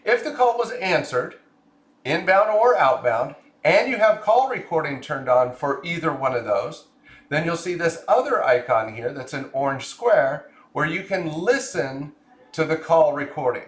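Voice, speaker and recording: Higher-pitched man narrating a software tutorial. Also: expressive, measured pace, roomy sound. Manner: clear step-by-step explaining. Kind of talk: scripted screen-share tutorial narration.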